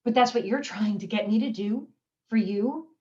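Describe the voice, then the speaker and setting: An actress playing a wry, heartbroken wife. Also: female voice, mid-range voice, normal speed, muffled recording, close to an everyday voice. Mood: pleading. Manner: deadpan wit over heartbreak, nervous laughs. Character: desperate wife clinging to her husband, wry and joking. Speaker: community theater actress. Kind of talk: stage monologue performance.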